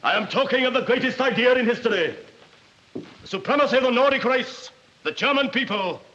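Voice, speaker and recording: An actor playing a fanatical Nazi German officer giving a fervent, grandiose speech in a 1940s film. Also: male voice, extremely high pitch, lively intonation, a little fast, sharp tone, heavily acted. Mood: zealous, rousing. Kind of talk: theatrical political oratory in a 1940s film. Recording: dull 1940s film soundtrack.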